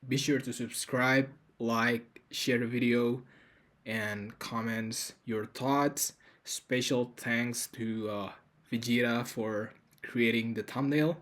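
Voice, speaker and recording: A man hosting his channel, introducing video clips in a plain, measured narration. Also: mid-range voice, fairly even intonation, a bit bright.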